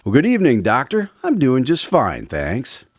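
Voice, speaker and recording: Guy doing old-time radio announcer, polite n formal, big swings in pitch, dry studio. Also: wildly swooping pitch, normal speed, breathy edge, dry recording. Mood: genial. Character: Craig, courteous male radio announcer visiting his doctor friend.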